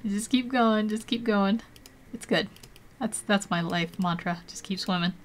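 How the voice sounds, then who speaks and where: A woman's voice: mid-range voice, normal speed, slight background noise. Young woman, art livestream host, chatty and giggly, rambles between steps, desk mic at home. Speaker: art streamer. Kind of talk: casual live-stream tutorial chat.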